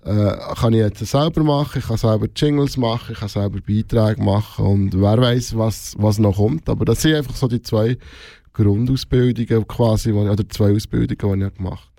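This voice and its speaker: Middle aged swiss german guy chatting in an interview, soft mellow tone, close dry sound, bit halting. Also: fairly low pitch, gravelly, no echo. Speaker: radio show host being interviewed. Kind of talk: casual interview talk.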